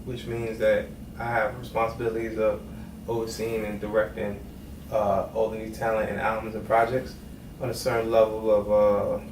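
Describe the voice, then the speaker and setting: A man from Trinidad and Tobago, raised in Brooklyn, a music executive talking casually in an interview with a laid-back manner. He is recorded from a distant mic in an echoey room with noise. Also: fairly low pitch. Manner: laid-back, conversational, many "you know what I mean".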